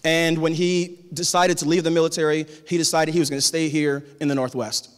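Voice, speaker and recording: A man's voice: on the high side, little pitch movement, brisk, clean tone. Man giving a keynote in a reverberant hall. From Tacoma, Washington. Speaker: keynote speaker, high school teacher. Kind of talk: conference keynote talk. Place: large conference hall on stage. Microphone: handheld cordless mic.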